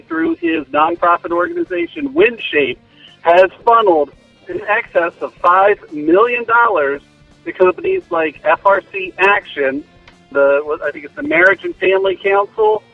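Over a tinny phone line, a man with a South Jersey accent leaves an opinionated voicemail. His voice is high and gravelly, and he explains his points emphatically. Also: wildly swooping pitch, moderate pace, no room sound, soft background music. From South Jersey, United States. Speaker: listener calling in. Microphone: telephone.

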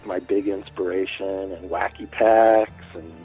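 A man's voice: deep voice, steady tone, unhurried, husky, faint music behind. Man in his forties on a phone line. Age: 40s. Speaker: guest artist. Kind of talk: casual interview chat.

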